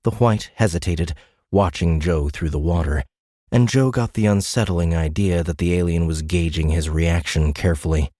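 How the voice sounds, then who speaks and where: A man reading scripted dramatic audiobook narration in a measured, suspenseful manner. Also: low voice, warm tone, no echo, clean studio sound.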